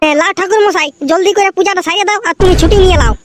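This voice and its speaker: A man voicing a pushy, impatient village student in a comic cartoon, with a Purulia Bengali accent and a pitched-up voice. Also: fairly even intonation, racing. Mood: pressing. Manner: demanding, rustic. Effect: pitch-shifted up. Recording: clearly noisy track with clicks.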